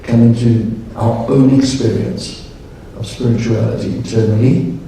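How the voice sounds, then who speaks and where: A man speaking at a conference, leading a guided meditation in a calm, slow, measured way. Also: mid-range voice, slight background noise. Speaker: meditation leader. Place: large conference hall. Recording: echoey hall, distant mic.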